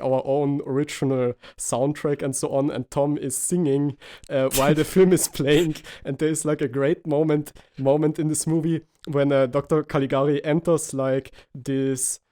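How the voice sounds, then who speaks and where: A man's voice: mid-range voice, warm tone. A man from Austria with an Austrian accent, a native German speaker, hosting a casual film podcast and rambling with many fillers. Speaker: podcast host.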